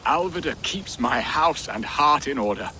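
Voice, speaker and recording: An actor playing a weary, loyal middle-aged Saxon ally in a video game. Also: male voice, a little high, expressive, normal speed, pushed and bright, a little hiss or hum, poor audio. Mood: fond, measured. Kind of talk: video game cutscene acting.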